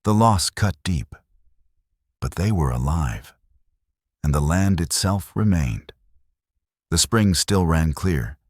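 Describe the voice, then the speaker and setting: Very deep-voiced man narrating a Western. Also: expressive, slow, long pauses, a little mellow, no echo, studio quality. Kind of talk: scripted dramatic Western story narration.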